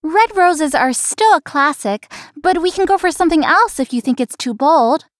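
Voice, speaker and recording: A woman's voice: high voice, lively intonation, normal speed, no echo, studio quality. A woman voicing a young, friendly but world-weary florist in an anime-style romance game. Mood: polite, helpful. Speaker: voice actor in a visual novel. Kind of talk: scripted anime-style game dialogue and inner monologue.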